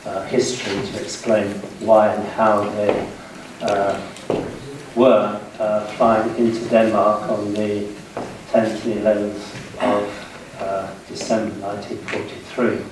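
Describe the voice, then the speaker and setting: A man in his sixties with a British accent gives a public history lecture in a measured way, pausing between short phrases. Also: lowish voice, level tone. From United Kingdom, west of London. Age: 60s. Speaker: guest lecturer. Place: indoor seminar room, speaking to an audience. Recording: very echoey room, distant mic, faint noise.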